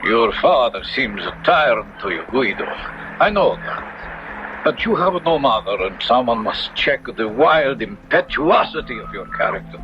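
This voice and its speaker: Slow, solemn, admonishing priest. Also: male voice, mid-range voice, big pitch swings, music in the background. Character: concerned, gently stern Italian priest, a family confessor. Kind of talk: 1970s radio drama acting. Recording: noisy, muffled 1970s radio recording.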